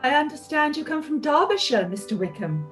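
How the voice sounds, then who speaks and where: A woman's voice: high voice, animated, a little fast, a bit bright, faint music behind. A woman playing a sympathetic, genteel middle-aged aunt in a period radio play, exclaiming and commiserating. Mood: polite interest.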